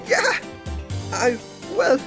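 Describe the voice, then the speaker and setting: A man's voice: very high voice, wildly swooping pitch, drawn-out words, exaggerated voice. A man playing a shifty, nervous salesman giving theatrical witness testimony in a courtroom game fan dub. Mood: flustered. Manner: theatrical, stammers when challenged. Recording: loud music under the voice.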